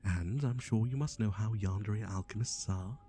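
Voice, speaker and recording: A man's voice: on the low side, moderate pace, warm tone, faint music behind, natural voice. A man playing a chatty, playful matchmaker in an ASMR roleplay, with a theatrical, teasing, conversational delivery. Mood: theatrical, amused. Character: chatty, playful male matchmaker for obsessive yandere boyfriends.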